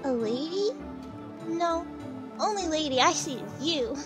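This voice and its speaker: A woman playing a curious, innocent young village boy in an audio drama, childlike, with simple halting phrasing. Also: high-pitched, expressive, music in the background. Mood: earnest.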